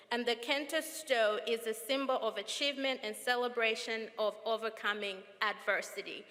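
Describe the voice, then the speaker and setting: A woman from Zambia speaks at a formal ceremony in an earnest, measured way. Her voice sits a little high and sounds bright. The sound carries a long reverb from a large auditorium.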